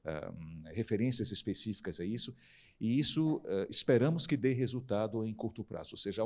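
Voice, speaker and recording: Low-voiced Brazilian man, formal and assured. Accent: Brazilian Portuguese. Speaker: panel guest, consul. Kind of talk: panel discussion.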